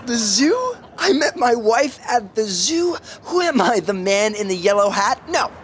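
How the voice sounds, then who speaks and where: A man's voice: very high-pitched, sing-song, unhurried, a bit bright, slight background noise, full character voice. A man playing an honest, reluctant twenty-something in a podcast sitcom, earnest and incredulous. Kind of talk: sitcom acting.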